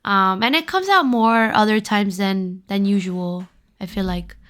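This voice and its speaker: A woman's voice: medium pitch, a little slow, a bit bright. Hesitant woman with a Venezuelan accent. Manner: hesitant, many ums and likes. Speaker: podcast guest. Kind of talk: casual chat.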